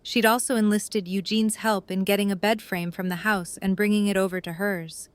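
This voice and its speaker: A woman's voice: medium pitch, steady tone, normal speed, dry recording. A woman reading scripted story narration for an audio drama.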